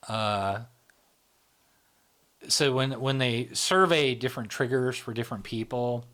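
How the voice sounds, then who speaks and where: A man's voice: mid-range voice, measured pace, fairly bright tone. A man hosting a casual podcast conversation, explaining ideas at length and tagging his sentences with "right?" and "like". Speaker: host, channel founder.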